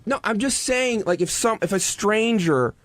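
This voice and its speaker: A man's voice: high-pitched, animated, moderate pace, a bit bright, dry recording. An American man arguing casually on a radio show, defensive and interrupting with insistent questions. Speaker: radio show cast member. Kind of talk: heated casual argument.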